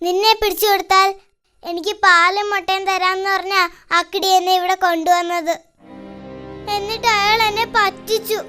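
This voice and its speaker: A bossy little cartoon tomcat with an extremely high, squeaky voice over clear music. He is a hungry, demanding henchman in a children's animation, childlike in sound. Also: steady tone, moderate pace, pushed and bright. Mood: aggrieved, chummy. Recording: music behind the voice.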